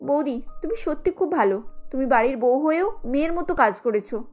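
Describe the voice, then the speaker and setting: An earnest young married daughter from a cartoon drama, voiced by a woman narrator. Her high voice is apologetic and full of feeling. Faint music runs underneath. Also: lively intonation, a little fast, darkish tone. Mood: remorseful, pleading. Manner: pleading, emotional. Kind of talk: cartoon story acting.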